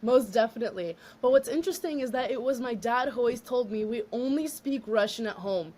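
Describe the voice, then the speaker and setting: A young woman from the United States, a graduate student guest chatting on a podcast and telling her family story in a lively way. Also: on the high side, brisk. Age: young adult. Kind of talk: casual podcast chat.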